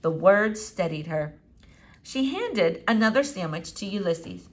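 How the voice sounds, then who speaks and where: A woman reading a children's novel aloud in an expressive storytelling style. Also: medium pitch, measured pace. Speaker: storybook reader. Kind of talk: expressive read-aloud narration.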